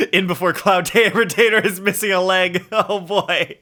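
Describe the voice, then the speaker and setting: A man's voice: sits high, measured pace, pushed and bright. A man giving casual, playful live commentary as a game streamer, thinking aloud in a rambling way.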